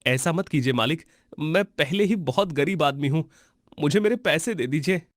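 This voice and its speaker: A man's voice: a little high, moderate pace, no echo, clean studio sound, lightly acted. A man voicing a meek, poor old farm labourer in a cartoon story, with a submissive, pleading manner. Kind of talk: Hindi cartoon story voice acting.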